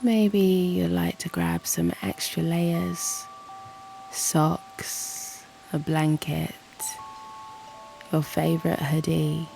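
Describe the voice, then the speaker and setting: A woman guiding a meditation in a soothing, hushed, gently encouraging way, her soft breathy voice often close to a whisper. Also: low voice, expressive, very slow, rough recording. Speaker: yoga nidra guide and channel host. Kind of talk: guided meditation. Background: soft music.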